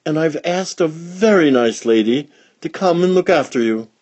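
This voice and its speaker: High breathy mother pig, fussy and flustered, storybook voice, put on by a man, husky edge, swooping. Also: expressive, normal speed, darkish tone. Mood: bustling. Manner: bustling, flustered. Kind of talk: storybook reading.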